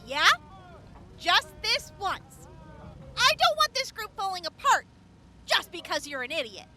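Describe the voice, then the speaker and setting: A woman's voice: very high-pitched, very animated, measured pace, pushed and bright, full character voice. An actress playing a blunt, sardonic young female medic in a post-apocalyptic radio drama. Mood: irritated. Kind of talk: audio drama acting.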